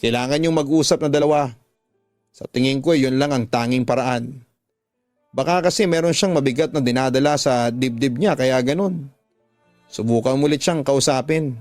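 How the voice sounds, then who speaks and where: A man's voice: medium pitch, normal speed, a little mellow, no room sound, faint music behind, lightly acted. Man voicing a coaxing, motherly older neighbor woman. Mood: sincere advice. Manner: coaxing, advising.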